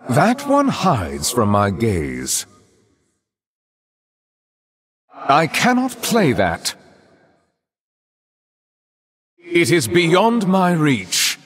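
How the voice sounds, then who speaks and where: An actor playing a haughty, sadistic vampiric lord in a video game, with theatrical, pompous, aristocratic delivery. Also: male voice, fairly high pitch, fairly bright tone, exaggerated voice. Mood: irritated. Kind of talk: video game character voice lines.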